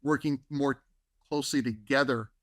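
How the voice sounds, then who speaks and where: A man's voice: medium pitch, fairly even intonation, normal speed, no room sound, studio quality. A man co-hosting a podcast, giving advice in a conversational way that leans on "right?" and "you know". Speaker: podcast co-host. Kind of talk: casual advice talk.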